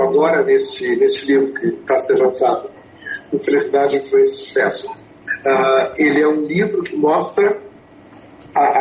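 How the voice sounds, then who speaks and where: A man in his sixties, a native English speaker, answering interview questions about his storytelling consulting with many fillers and restarts. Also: a little high. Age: 60s. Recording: echoey, dull, slightly noisy.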